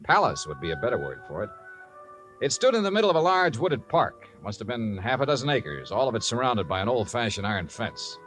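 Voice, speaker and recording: A man's voice: mid-range voice, sing-song, brisk, fairly bright tone. An American man playing a wry, breezy, wisecracking insurance investigator in a 1950s radio drama, with punchy delivery. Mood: wry narration. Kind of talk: 1950s radio detective acting and narration. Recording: 1950s radio recording, music behind.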